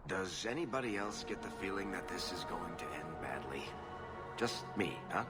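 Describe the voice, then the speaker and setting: A man's voice: low voice, normal speed, close to an everyday voice. A man voicing a wry, guarded storyteller companion in a fantasy video game, with sardonic delivery. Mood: dryly uneasy. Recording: loud music under the voice.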